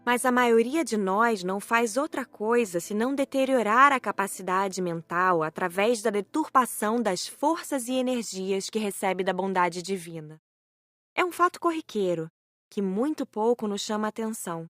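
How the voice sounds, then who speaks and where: A woman's voice: medium pitch, lively intonation, moderate pace, a bit bright, no echo. A woman reading scripted first-person narration as an audiobook narrator.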